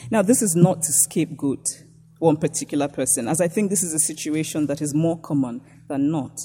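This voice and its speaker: A woman from Nigeria with a Nigerian accent, reading a prepared lecture in a measured, reflective way. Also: low voice, dark tone, in a large hall, slight background noise.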